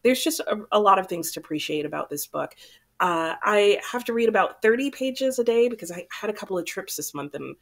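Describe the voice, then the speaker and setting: A woman's voice: mid-range voice, brisk, vocal fry. A young woman hosting her book channel, chatting casually to camera while a little sick, so her voice sounds slightly off. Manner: chatty, relaxed, rambling.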